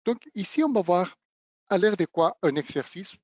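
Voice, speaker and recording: A man who is not a native speaker of the language, giving a webinar demonstration of training equipment and pausing often to search for words. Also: high voice, lively intonation, darkish tone, no echo. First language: not French. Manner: halting, searching for words, non-native phrasing. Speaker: product trainer.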